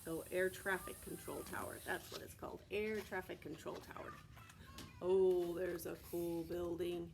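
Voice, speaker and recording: A woman's voice: lowish voice, steady tone, moderate pace, vocal fry. American woman reading to preschoolers, noisy recording. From Texas, United States. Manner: simple child-directed phrasing, frequent questions. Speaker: preschool teacher. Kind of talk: read-aloud to young children with explanatory asides and questions.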